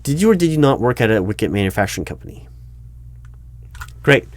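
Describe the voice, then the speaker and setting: A man playing a baffled, exasperated recruiting manager in a one-sided ASMR job interview roleplay, speaking aloud with halting, repeated words. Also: mid-range voice, big pitch swings, no room sound, natural voice. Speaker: roleplay performer.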